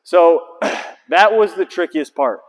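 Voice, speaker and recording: A man's voice: on the high side, expressive, slow. A man in his thirties, a basketball trainer, casually walking through his gym and explaining with lots of fillers, on a lapel mic. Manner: casual, filler-heavy, explaining and pointing things out. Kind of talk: casual walkthrough vlog. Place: large empty indoor basketball gym. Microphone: clip-on lapel mic. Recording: long reverb of a big empty gym.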